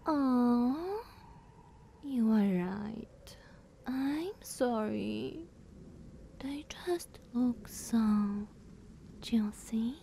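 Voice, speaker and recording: A woman acts out a silky, dominant vampire sister who treats the listener as a pet. Her voice is fairly high yet mellow and breathy, drawn out very slowly, sweetly condescending. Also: expressive, slight background noise, full character voice. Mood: sweet, hungry. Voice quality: soft, breathy, often whispered. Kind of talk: ASMR roleplay acting.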